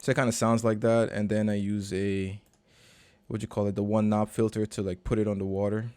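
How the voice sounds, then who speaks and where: A man, a recording and mixing engineer, casually walking through a session in a relaxed, slangy, conversational way. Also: on the low side, brisk. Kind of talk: casual screen-share walkthrough.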